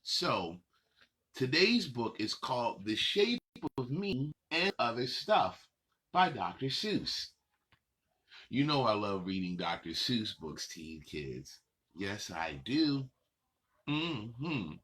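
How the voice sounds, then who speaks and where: A man with a husky voice reads a picture book to children as a story time host. His pitch swings widely and playfully from phrase to phrase. He takes his time, stretching words out and chatting to the young listeners. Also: sing-song, fairly bright tone. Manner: drawn-out, playful phrasing addressed to kids. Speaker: host reading children's picture books aloud.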